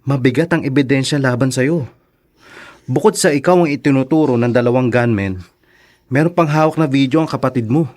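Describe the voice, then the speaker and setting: Lalaking gumaganap na prangkang kaibigang abogado. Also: male voice, mid-range voice, darkish tone, natural voice. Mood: grave, candid. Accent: Filipino. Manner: candid, measured. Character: frank, concerned lawyer friend of the prisoner.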